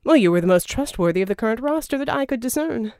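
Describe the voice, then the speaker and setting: A woman's voice: a little high, animated, quick pace, a little mellow, no room sound, studio quality, voice pushed up. A woman voicing a flamboyant, smug, scheming male villain in an audiobook, with a theatrical, wheedling manner. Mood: smooth, cajoling. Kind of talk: audiobook character dialogue.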